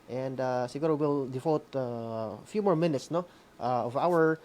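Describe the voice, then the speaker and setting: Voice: mid-range voice, moderate pace, a little mellow. A program co-host speaks with a Filipino accent in a casual hosting style. The delivery is hesitant, dotted with short tag questions and switches between English and local words. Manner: hesitant, frequent "no?" tags, mixes local language with English. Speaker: co-host of a school-on-the-air program. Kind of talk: casual hosting, reading viewer messages.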